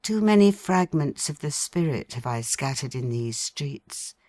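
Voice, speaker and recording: A woman narrating a literary audiobook in a solemn, measured, poetic manner. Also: low voice, a little mellow, no echo, clean studio sound. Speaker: audiobook reader.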